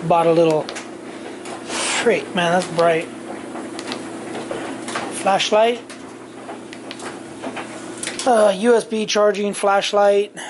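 Slow, rambling man in a garage. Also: high voice, noisy background. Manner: rambling, slow and offhand, with many fillers and self-corrections. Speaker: channel host. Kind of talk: casual shopping haul vlog. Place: home garage workshop, indoors. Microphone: handheld camera mic, filming point of view.

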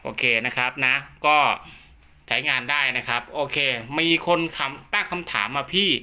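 A man with a very bright, forward voice pitched a little high for a male speaker. He explains equipment like a hands-on technician, in a chatty, instructive way, often going back over a point. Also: normal speed, a little hiss or hum. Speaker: radio equipment technician and seller giving a demo. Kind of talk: informal product demonstration and explanation.

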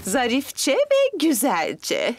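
A woman's voice: sits high, huge pitch swings, drawn-out words, full character voice. A woman voicing a graceful, encouraging gazelle ballet teacher in a cartoon, sing-song in manner. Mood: brisk, encouraging. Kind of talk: cartoon dialogue. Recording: loud music behind the voice.

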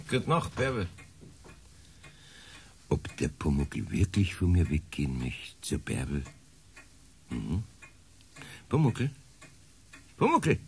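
Kindly Bavarian carpenter, lively intonation. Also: male voice, low-pitched, creaky, a little hiss or hum, exaggerated voice. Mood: tender, wondering. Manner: homely, gently teasing. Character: kindly older Bavarian master carpenter, good-humored uncle. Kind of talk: natural radio play acting.

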